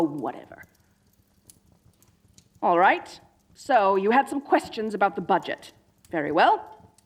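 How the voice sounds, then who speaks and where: A woman's voice: mid-range voice, natural voice. A woman playing a dorky evil sorceress queen in a comedic audio roleplay, theatrical, gloating and petulant, talking straight to the listener. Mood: scolding, then defensive. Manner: theatrical, gloating, mock-menacing.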